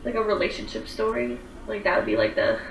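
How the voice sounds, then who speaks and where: A woman's voice: fairly low pitch, sing-song, fairly quick, echoey room, recorded from a distance, a little hiss or hum, poor audio. A woman in her twenties chatting casually on a livestream, rambling with lots of fillers as she answers viewer comments. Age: 20s.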